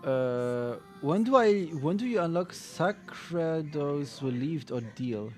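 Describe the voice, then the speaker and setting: Halting streamer man over faint music. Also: on the high side, lively intonation, a little mellow. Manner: halting, full of restarts and fillers while answering chat. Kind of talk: casual game stream chat. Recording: faint game music behind.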